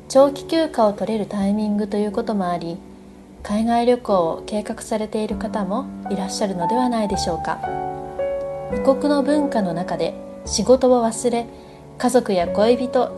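Woman reading a scripted radio bit, kinda high voice, music behind her. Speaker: band guitarist presenting a radio segment. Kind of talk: scripted fortune-style radio narration.

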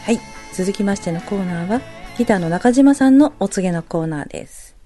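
A woman's voice: medium pitch, expressive, fairly quick, dark tone, faint music behind. A woman hosting a radio show, presenting segments and interviewing her guest. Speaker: radio show navigator, host.

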